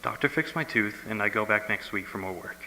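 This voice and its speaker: Man acting gravelly, evasive young husband, echoey. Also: fairly low pitch, brisk. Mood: evasive, dismissive. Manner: flatly reassuring, brushing off questions. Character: evasive, dismissive husband, a young male student. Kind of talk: stage radio drama acting. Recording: echoey hall with noise and hum.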